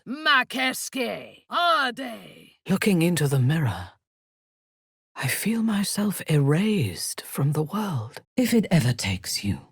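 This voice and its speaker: Fantasy game role: ruthless female dark elf commander, husky, slow and deliberate, sweeping theatrical intonation, coldly seductive. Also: dry recording, studio quality, full character voice. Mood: battle cry, then intimate, brooding. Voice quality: low, breathy, husky. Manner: measured, commanding, formal diction. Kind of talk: video game voice lines, theatrical fantasy acting.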